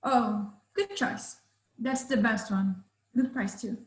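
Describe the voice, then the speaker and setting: A woman's voice: a little high, takes it slowly, reverberant. A woman playing an aggressive, wheedling salesman of about thirty in a script table read. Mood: coaxing. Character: pushy thirty-year-old male shop seller, aggressive and wheedling.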